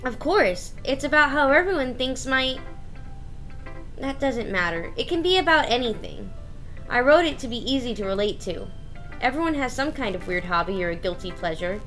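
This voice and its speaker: A woman's voice: high-pitched, lively intonation, moderate pace, fairly bright tone, faint music behind. A woman voicing a blunt, proud, opinionated teenage girl in a visual novel, assertive and self-assured. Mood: scornful, defensive.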